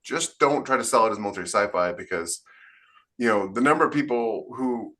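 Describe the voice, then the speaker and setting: A man hosting a podcast talks in a lowish voice with a bright, forceful edge. He speaks in animated, quick bursts and keeps the conversation moving between the other hosts. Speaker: podcast host, author. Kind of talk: casual podcast chat.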